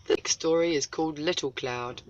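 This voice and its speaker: A woman from New Zealand reading a children's story aloud as host, dramatic, with deliberate pauses and emphasis. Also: low voice, darkish tone, lo-fi sound.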